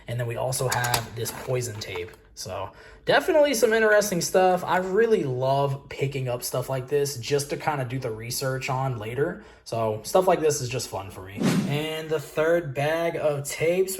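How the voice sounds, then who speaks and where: An American man talks informally to his viewers as a vlog host. His voice sits at a middle pitch, and his wording is loose and slangy. The home-video recording carries clear background noise. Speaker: host of a video channel about reselling collectibles. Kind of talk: casual vlog commentary.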